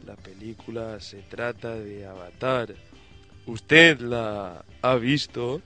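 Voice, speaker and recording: Male host, low and very slow, mock-formal, faint music under. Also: sharp tone. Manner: mock-formal, measured delivery. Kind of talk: mock talk-show interview.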